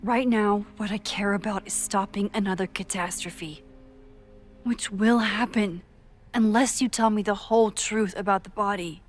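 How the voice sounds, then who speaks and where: An earnest young woman played by a game actress, determined and inward-looking as she works out a mystery. Her medium-pitched voice carries a long reverb, with music underneath. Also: fairly even intonation, moderate pace, close to an everyday voice. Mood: firm, pressing. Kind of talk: video game voice acting.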